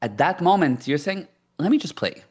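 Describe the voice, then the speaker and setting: A man's voice: on the high side, big pitch swings, normal speed, husky. A middle-aged man born in South Africa whose first language is Hebrew, speaking as an entrepreneur guest in a casual podcast interview and stressing his points by repeating them. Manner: emphatic, repeats key phrases.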